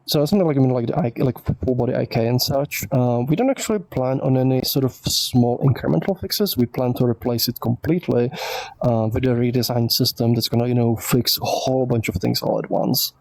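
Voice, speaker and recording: A man's voice: medium pitch, brisk, gravelly, darkish tone, no room sound. A man whose first language is Czech, hosting a casual livestream Q&A, reading viewer questions aloud and rambling through his answers with lots of fillers.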